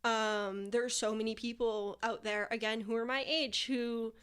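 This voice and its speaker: Chatty young woman with a bright pitch. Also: moderate pace, smooth. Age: 20s. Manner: chatty, filler-heavy, animated retelling. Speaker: guest musician. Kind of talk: casual interview chat.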